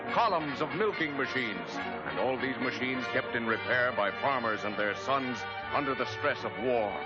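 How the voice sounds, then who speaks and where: An American man delivering an emphatic, dramatic scripted narration in the style of a 1940s wartime propaganda film. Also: medium pitch. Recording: dull vintage 1940s film soundtrack. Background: loud orchestral film score.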